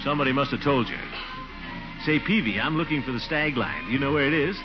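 A man's voice: mid-range voice, a little fast, lightly acted. A man playing a pompous, blustering middle-aged man with a chuckle in a 1940s radio comedy. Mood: curious. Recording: dull old radio recording with music.

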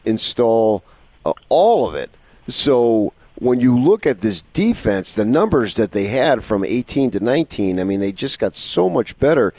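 A man's voice: lowish voice, darkish tone, no room sound. A man hosting a sports radio show, talking casually and putting questions to a guest.

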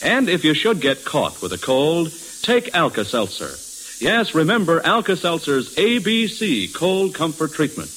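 Male 1940s radio pitchman, animated intonation, emphatic sponsor read over light old-recording hiss. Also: unhurried, low-quality recording. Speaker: radio announcer reading a sponsor message.

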